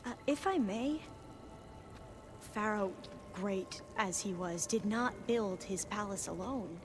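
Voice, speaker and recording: A cautious, scholarly young researcher, voiced by a woman who explains things politely, taking her time. She speaks very slowly at a middling pitch, and game music plays behind her. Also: close to an everyday voice. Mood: explaining. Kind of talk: video game dialogue.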